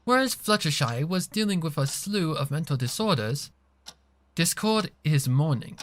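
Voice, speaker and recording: A man's voice: on the high side, animated, moderate pace, no room sound, close to an everyday voice. A man voicing a refined female pony who explains things patiently in polite, formal phrasing. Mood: grave, explaining.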